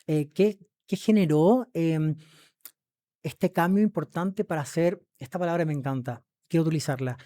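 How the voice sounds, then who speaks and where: A man with a fairly high, very soft-toned, mellow voice hosts a podcast interview. He talks effusively and with emphasis, often turning to address his audience. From Chile. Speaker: podcast host. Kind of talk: conversational interview.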